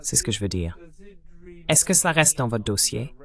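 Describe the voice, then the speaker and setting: A man's voice: medium pitch, very animated, normal speed. A man hosting an interview who asks probing, clarifying questions, voiced over in French. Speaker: interviewer, host.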